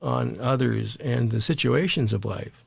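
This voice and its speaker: Male interview guest, strongly fry-laden voice, dry close sound, halting conversational delivery with repeated words. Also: medium pitch, a little mellow, dry recording. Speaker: guest, meditation teacher.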